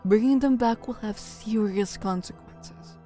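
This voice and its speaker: A woman playing a composed, stern academy librarian in a roleplay drama, measured and cautionary. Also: fairly high pitch, dark tone, soft background music, lightly acted. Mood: firm, cautioning. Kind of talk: Minecraft roleplay voice acting.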